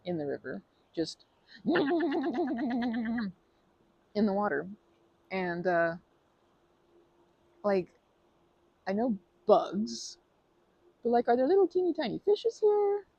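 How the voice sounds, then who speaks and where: A woman doing an ASMR roleplay as a polite stranger, talking softly with hesitant, rambling chatter and many restarts, sometimes dropping to a whisper. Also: a little high, sing-song, slow, long pauses, slightly rough, no room sound, dull audio. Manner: soft-spoken, drops to whispers.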